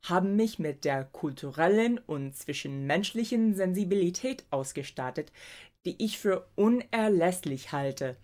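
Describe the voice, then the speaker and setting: Young woman, careful tutor. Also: on the low side. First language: English. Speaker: language tutor. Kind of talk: scripted self-introduction to camera. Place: home room with bookshelves.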